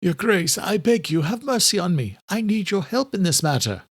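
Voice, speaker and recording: A man's voice: high voice, sing-song, moderate pace, breathy edge, no room sound, voice pushed up, full character voice. A man voicing a desperate male vampire noble who pleads frantically for help. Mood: pleading.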